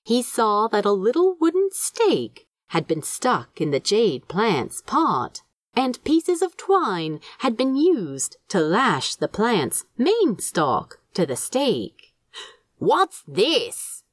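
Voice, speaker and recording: Expressive, unhurried woman reading a story. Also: a little high, dry recording. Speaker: fan fiction narrator. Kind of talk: scripted story narration.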